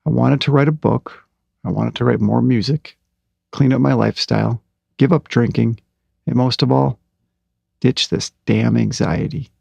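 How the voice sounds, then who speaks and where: A middle-aged man hosting a podcast, talking in a calm, unhurried way and reading aloud from his own blog post. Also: medium pitch, vocal fry, slightly rough, no echo. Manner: calm, slow and unhurried, with fillers. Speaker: podcast host.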